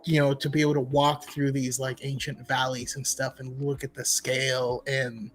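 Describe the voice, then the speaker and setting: A man co-hosting a casual gaming chat, talking in an excitable, rambling way. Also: mid-range voice, moderate pace. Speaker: podcast co-host. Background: music.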